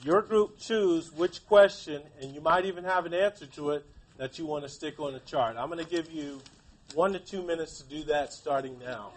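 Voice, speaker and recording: A man's voice: on the high side, moderate pace. A man teaching a class, reading aloud and prompting pupils with questions. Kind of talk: interactive classroom read-aloud and discussion.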